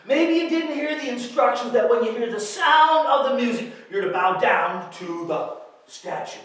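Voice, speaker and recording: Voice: medium pitch, animated, moderate pace, a bit bright, heavy reverb, recorded from a distance, close to an everyday voice, poor audio. A storyteller voicing a proud, bad-tempered king who gives exaggerated, commanding royal orders to a crowd of subjects. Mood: condescending.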